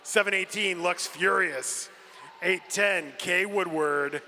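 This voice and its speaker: A man announcing a team roster over a PA in measured, drawn-out phrases. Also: high-pitched, bright, forceful tone. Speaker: arena announcer. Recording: reverberant hall PA with some noise.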